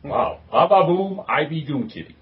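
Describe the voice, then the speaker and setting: A very husky, rough-voiced man chats casually as a podcast co-host. His slightly high voice moves around in a lively way, over a somewhat muffled recording. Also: normal speed. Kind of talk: casual chat. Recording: muffled lo-fi voice link.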